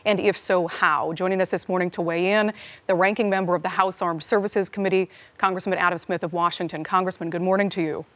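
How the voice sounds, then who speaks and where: A woman's voice: fairly low pitch, steady tone, fast talker, a bit bright. American woman anchoring a live news interview.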